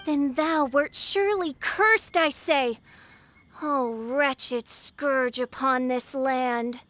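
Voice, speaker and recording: A woman's voice: sits high, very slow, a bit bright, dry recording, full character voice. A woman voicing a haughty teenage self-styled princess in a video game, theatrical and pompous, with archaic, flowery speech. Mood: indignant.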